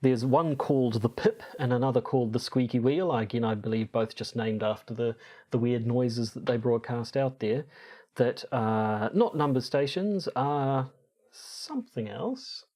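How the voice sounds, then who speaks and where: A man co-hosting a podcast, explaining from notes in a hesitant, halting way as he searches for words. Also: mid-range voice, darkish tone. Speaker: podcast co-host.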